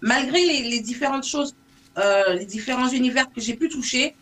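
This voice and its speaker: A woman singer from Saint-Martin, whose mother tongue is English, chats in an interview in a fairly high voice. She thinks aloud in short, broken phrases. The recording has light noise with clicks. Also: moderate pace, a bit bright. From Saint-Martin in the Caribbean. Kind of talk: casual interview conversation.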